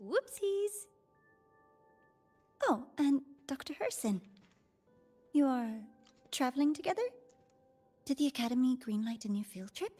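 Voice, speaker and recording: A woman playing an excitable young female researcher in a video game, shy and nerdy, given to eager rambling and flustered apologies. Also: high voice, a little slow, pauses often, faint music behind. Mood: surprised, friendly. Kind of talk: anime-style video game acting.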